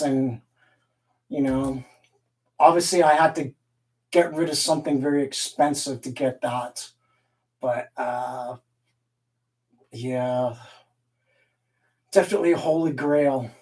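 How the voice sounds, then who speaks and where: A man's voice: fairly high pitch, slow pace, pauses often, a little mellow, recorded from a distance. A man raised in Canada hosting a casual live stream, rambling, laughing often and reading the chat aloud. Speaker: livestream host and guitar collector.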